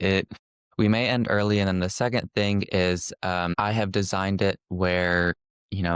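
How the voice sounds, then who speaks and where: A young man from California, a social work student, giving a relaxed, reflective webinar presentation with frequent restarts and fillers. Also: low-pitched, clean tone, no echo, studio quality.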